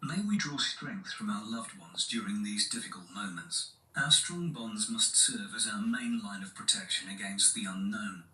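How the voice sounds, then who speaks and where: A man's voice: on the low side, normal speed, bright, forceful tone. A man playing a grave government announcer, reading a formal, solemn emergency address to the nation. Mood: solemn, resolute. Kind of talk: scripted emergency broadcast. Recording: echoey, distant, faint hiss.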